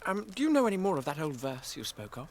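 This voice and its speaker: An actor playing a curious, nervous young hobbit in a radio drama, with a British accent. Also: male voice, fairly high pitch, sing-song, quick pace, a little hiss or hum.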